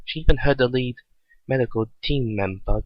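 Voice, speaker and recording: A man giving an amateur dramatic reading of a story, halting and stumbling over phrases as he goes. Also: medium pitch, dry recording. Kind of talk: dramatic reading of fan fiction. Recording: dull lo-fi recording.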